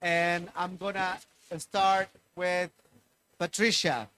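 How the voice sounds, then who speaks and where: A man moderating a panel discussion, speaking haltingly and repeating words. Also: sits high, flat delivery, pushed and bright, smooth. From Ecuador.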